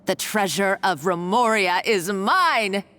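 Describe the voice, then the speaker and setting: A woman's voice: medium pitch, animated, takes it slowly, a bit bright, a little hiss or hum. An actress voicing an unhinged, scheming woman villain in a video game, with a theatrical, gloating delivery. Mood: gloating triumph.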